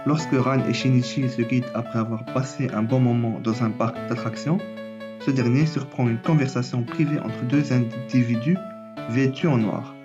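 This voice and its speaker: A man with a slight cold hosts his own theory video. He reads a prepared script at an ordinary pitch and stumbles now and then. Clear music runs under the voice the whole time. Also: dull audio. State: has a cold. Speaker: anime and manga YouTuber.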